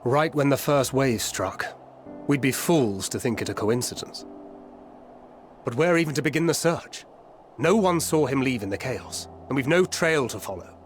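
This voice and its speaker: A man's voice: mid-range voice, expressive, normal speed, soft background music, close to an everyday voice. An actor playing a blunt, practical male adventurer in a fantasy game. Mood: suspicious, worried. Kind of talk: fantasy game cutscene acting.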